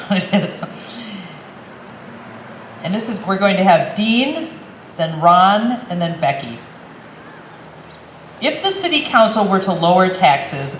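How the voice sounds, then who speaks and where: A woman politely moderating an outdoor forum, reading questions and directing speakers. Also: lowish voice. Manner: polite, orderly. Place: outdoors in a town park. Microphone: public address microphone. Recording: echoey outdoor PA with clear noise.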